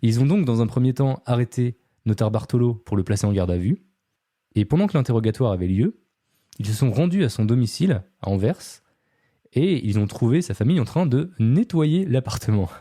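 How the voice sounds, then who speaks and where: A man's voice: medium pitch, animated, fairly quick, dark tone, dry recording, studio quality. A man hosting a podcast, telling a true-crime story casually, with many fillers and chatty asides to his co-host.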